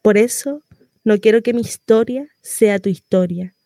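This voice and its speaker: A woman performing a dramatic monologue as a devoted mother counselling her daughter, with deliberate pauses. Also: fairly high pitch, warm tone, no room sound. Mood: tender, earnest. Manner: slow, deliberate, pausing delivery. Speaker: actress.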